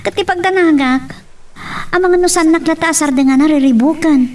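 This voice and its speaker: A woman playing a young, easily startled woman in an audio love drama, with an Ilocano accent and expressive exclamations. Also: very high-pitched, brisk, distant mic. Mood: worried. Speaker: voice actor.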